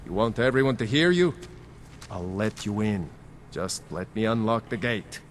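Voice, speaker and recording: A man's voice: on the low side, expressive, moderate pace, slight background noise, natural voice. An actor playing a corrupt male city guard in a video game, talking in a brusque, matter-of-fact way.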